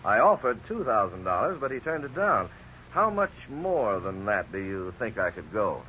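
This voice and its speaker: An earnest lawyer in an old 1950s radio drama, polite and businesslike. His voice is low and swings expressively, over a muffled recording with light hiss. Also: sing-song, moderate pace, pushed and bright. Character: earnest male lawyer negotiating for his client.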